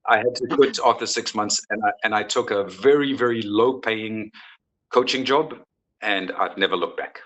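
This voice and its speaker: A man's voice: lowish voice, moderate pace, a bit bright, poor audio. A man chatting as a football coach in a sports interview, emphatic and repeating his phrases. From South Africa. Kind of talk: casual sports interview chat.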